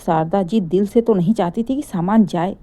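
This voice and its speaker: A woman's voice: medium pitch, moderate pace, warm tone. A woman telling a scripted family story as a dramatic narrator.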